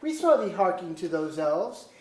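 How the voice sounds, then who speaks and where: A woman's voice: on the low side, very animated, moderate pace, darkish tone. A woman playing a scheming, courtly earl in a staged reading of an archaic verse play, speaking in formal declamatory diction. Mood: probing. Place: museum auditorium staged reading. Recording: echoey auditorium.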